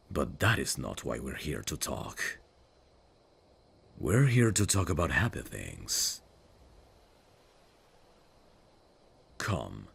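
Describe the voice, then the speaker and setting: Male voice actor as brooding evil prince, extremely low gravelly voice, tender lovesick manner, formal, intimate fantasy ASMR. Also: exaggerated voice. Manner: slow, hushed, formal and halting.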